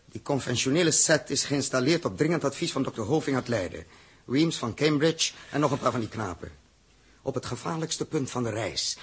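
Stern space captain in a 60s radio drama, really husky voice, slow emphatic authority. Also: male voice, mid-range voice, fairly bright tone. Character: stern male spaceship commander, rational, lecturing, unyielding. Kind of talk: 1960s radio drama acting.

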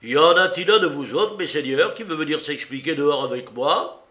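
A menacing old pirate captain who barks down his crew in a loud, pushed-up voice, as a male reader puts him on. He is commanding, threatening and full of swagger, in a storybook telling. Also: on the high side, lively intonation, moderate pace, pitched above the performer's own voice.

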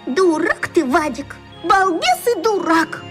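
A woman's voice: very high voice, big pitch swings, slow, loud music behind the voice, full character voice. A woman playing a self-important, lovestruck young schoolgirl in a children's radio play, theatrical and bossy. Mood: indignant.

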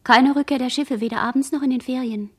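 High-voiced delirious shipwrecked girl. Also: fairly even intonation, quick pace, breathy edge. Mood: dreamy, drifting. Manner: fragmentary, incantatory, drifting phrases. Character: barely conscious shipwrecked young girl, delirious, dreamy, fearful. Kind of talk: radio play monologue, poetic disjointed speech.

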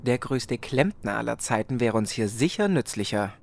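A woman's voice: rumbling low, expressive, moderate pace, creaky, a little mellow, heavily acted. A woman playing a bossy, sarcastic knight's sidekick with formal politeness in a fantasy parody audio drama.

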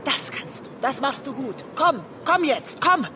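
A woman's voice: sits high, expressive, measured pace, noisy background. An actress playing a weary, intense woman lover in a radio drama, speaking intimately and often close to a whisper. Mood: brazen, goading. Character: weary, intense woman lover, narrating and confronting her partner. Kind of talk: radio drama acting and literary narration.

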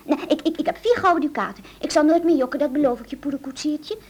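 A woman playing a naive, eager wooden puppet boy in a children's audio play, stammering and excitable. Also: high-pitched, quick pace, close to an everyday voice. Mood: eager, trusting.

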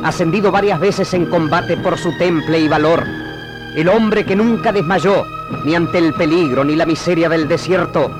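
Man as solemn Argentine narrator over loud music. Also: fairly high pitch, moderate pace, natural voice, poor audio. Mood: reverent. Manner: solemn, poetic declamation. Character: the vast pampa itself, solemn epic storyteller. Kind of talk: scripted radio drama narration.

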